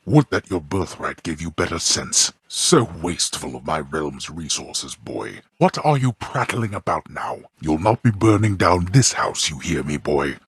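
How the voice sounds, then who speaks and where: A deep, husky, scornful underworld king. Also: no echo, studio quality, full character voice. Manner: imperious, archaic formal diction, contemptuous. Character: stern, scornful middle-aged god-king of the underworld and father. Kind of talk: theatrical scripted video game voice acting.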